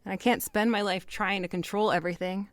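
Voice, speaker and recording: An actress playing an anxious, wry young woman who confides in a candid, halting, rambling way in an audio drama. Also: medium pitch, steady tone, dry recording, close to an everyday voice. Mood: reflective, resigned. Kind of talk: naturalistic audio drama dialogue.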